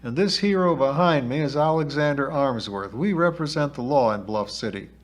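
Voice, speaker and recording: A man's voice: medium pitch, moderate pace, close to an everyday voice. A man voicing a plucky, bossy small-town girl, folksy and self-assured, in a storybook reading. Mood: bold. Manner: folksy, self-assured, talking like a little lawyer.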